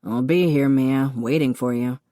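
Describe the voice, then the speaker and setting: A woman voicing a grown man who ribs his friend in a slow, mocking drawl. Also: very deep voice, mellow, dry recording, studio quality.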